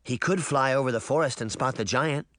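A man's voice: mid-range voice, brisk, a bit bright, no room sound, studio quality, natural voice. A man voicing an innocent, outspoken little girl with a naive, questioning manner in an audiobook. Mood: earnest. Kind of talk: audiobook character voice.

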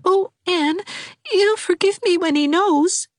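A woman's voice: takes it slowly, no echo, studio quality. A woman voicing a timid, tearful young woman who whimpers and stammers. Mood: whimpering. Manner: whimpering, stammering, high and fretful.

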